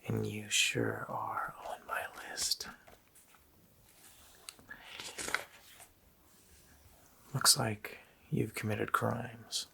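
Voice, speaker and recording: A man voicing a sinister, taunting Christmas demon in a slow, hoarse, menacing whisper for an ASMR roleplay. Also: lowish voice, flat delivery, pushed and bright, full character voice. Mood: accusing, taunting. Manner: slow, menacing, drawn-out phrasing.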